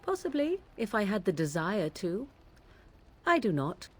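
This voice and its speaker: A woman's voice: mid-range voice, sing-song, unhurried, breathy edge. An actress playing an aloof, sardonic young witch from the wilds, speaking in a formal, archaic and haughty way in studio game dialogue. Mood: dry. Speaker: game companion character.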